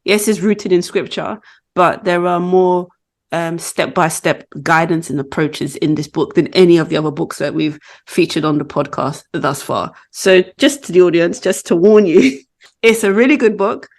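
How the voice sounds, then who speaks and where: A woman's voice: on the low side, normal speed, a little mellow. A woman hosting a podcast about books, chatting casually and laughing often. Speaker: podcast host. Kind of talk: casual book chat.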